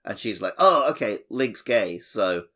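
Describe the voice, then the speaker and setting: A man's voice: lowish voice, lively intonation, unhurried, fairly bright tone. A man co-hosting a podcast, chatting casually and jokingly about a video game. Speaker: podcast co-host. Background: music.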